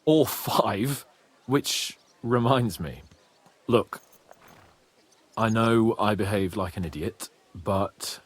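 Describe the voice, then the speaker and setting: Husky, proud young medieval lord. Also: male voice, fairly low pitch, takes it slowly, long pauses. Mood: exasperated. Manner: haughty, swearing, expressive. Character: proud, hot-headed young nobleman in medieval Bohemia. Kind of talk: video game cutscene acting.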